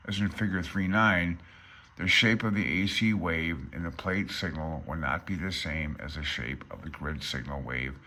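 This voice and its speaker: A man's voice: sits low, pushed and bright. An older man giving an informal tutorial, reading from a handbook and adding hesitant, self-deprecating asides as an amateur hobbyist. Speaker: amateur radio hobbyist presenter.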